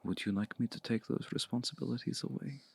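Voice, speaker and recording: A man as a hushed, gravelly, seductive vampire. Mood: seductive, coaxing. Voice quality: low, gravelly, breathy. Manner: slow, deliberate, hushed, often breathy near-whisper. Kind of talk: intimate ASMR roleplay. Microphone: close mic, dry.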